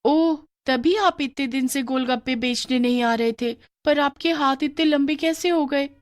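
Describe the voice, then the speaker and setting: A woman voicing a cheerful schoolgirl who loves street snacks, bubbly and excited. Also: high voice, measured pace, no echo, studio quality, pitched above the performer's own voice. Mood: curious.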